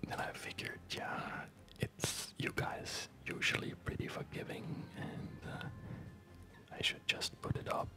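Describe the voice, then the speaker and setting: A man giving hushed, unhurried and rambling ASMR commentary over a game he is playing, speaking in a whisper. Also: over music. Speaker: gaming video host.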